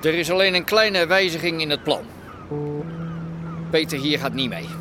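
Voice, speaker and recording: An actor playing a bossy, distrustful underworld boss in a Dutch radio drama, curt and impatient. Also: male voice, a little high, moderate pace, sharp tone, faint music behind. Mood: firm, curt.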